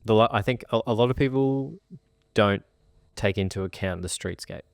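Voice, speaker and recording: A deep-voiced Australian man co-hosting a podcast, laying things out in an easygoing way with everyday examples. He speaks into a studio mic, and the sound is dry with no room echo. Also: moderate pace, vocal fry. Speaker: podcast co-host and buyer's agent. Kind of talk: casual podcast chat.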